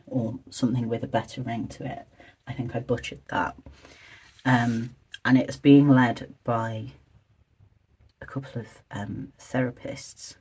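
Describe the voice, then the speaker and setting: Craft vlogger, mid-pitched and a little croaky, soft-spoken, chatty and hesitant, for a calm journaling video. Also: low-quality recording. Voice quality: slightly croaky, husky. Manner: hesitant, meandering, soft-spoken and low-key. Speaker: stationery and journaling video creator. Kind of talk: quiet, chatty craft vlog, thinking aloud while decorating.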